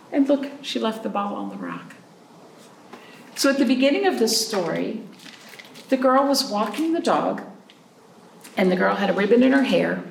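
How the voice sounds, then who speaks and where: Female storytime host, lively intonation, some vocal fry, question-and-pause delivery for little ones; distant mic with faint noise. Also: medium pitch, echoey room. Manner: prompting listeners with questions and pauses; expressive, drawn-out reading of story phrases. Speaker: children's librarian hosting storytime. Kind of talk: read-aloud storytime for young children.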